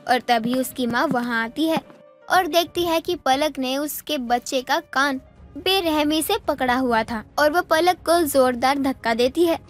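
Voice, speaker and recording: A woman narrating a scripted moral story. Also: high voice.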